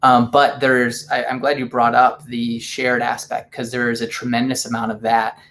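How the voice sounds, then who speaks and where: Measured, reflective man. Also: medium pitch, monotone, a bit bright, a little hiss or hum. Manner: measured, reflective, some hesitations. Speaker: co-guest, spiritual teacher. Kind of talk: casual interview talk.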